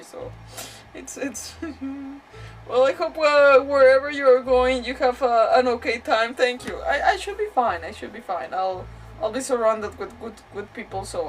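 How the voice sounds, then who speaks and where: Woman, game streamer, fairly high voice, casual rambling commentary, emotive, slightly far from mic, soft background music. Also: expressive, measured pace. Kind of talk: casual live gameplay commentary.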